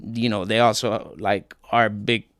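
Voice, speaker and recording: A young man from Colombia, a guest telling his life story in a relaxed, slangy way with many fillers. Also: lowish voice, a little slow, no echo, clean studio sound. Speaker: interview guest, rapper. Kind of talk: casual interview talk.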